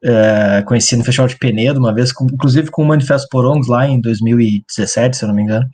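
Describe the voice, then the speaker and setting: A filmmaker from Porto Alegre with a southern Brazilian accent, speaking over a video call in a thoughtful, hesitant way. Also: male voice, mid-range voice, fast, darkish tone. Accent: Rio Grande do Sul Portuguese. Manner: thoughtful, hesitant, many fillers. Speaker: filmmaker guest. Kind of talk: casual video-call interview.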